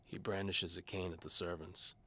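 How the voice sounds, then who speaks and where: A man's voice: sits low, little pitch movement, moderate pace, pushed and bright, dry recording, full character voice. A man playing a cynical, hard-bitten mercenary bowman who narrates his own case in a wry, terse noir style. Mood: narrating, wry. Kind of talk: scripted first-person noir fantasy narration.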